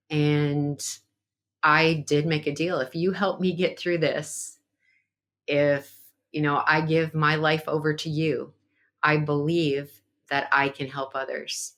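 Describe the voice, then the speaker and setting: Podcast guest: woman, low voice, earnest, reflective, conversational. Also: a bit bright. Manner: earnest and reflective, with frequent fillers. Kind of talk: conversational interview.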